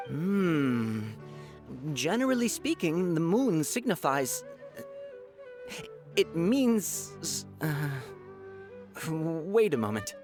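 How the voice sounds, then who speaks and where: An animated fortune-teller vendor. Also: male voice, fairly high pitch, extremely slow, pauses often, faint music behind, full character voice. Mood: unsure, theatrical. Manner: salesman-like, theatrical, hesitant when unsure. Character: male fortune-teller stall vendor, eager, affable, slightly bumbling amateur. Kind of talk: video game character acting.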